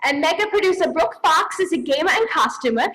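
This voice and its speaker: A woman's voice: extremely high pitch, expressive, fairly quick, a bit bright. A woman playing a playful, wisecracking awards hostess with a theatrical, bantering manner. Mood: announcing. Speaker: awards show co-host.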